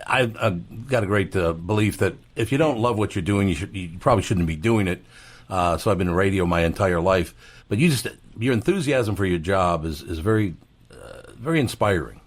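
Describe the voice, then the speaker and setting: A man's voice: low voice, expressive, a little fast. A man hosting a radio interview, talking in a rambling way with many fillers. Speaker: radio host.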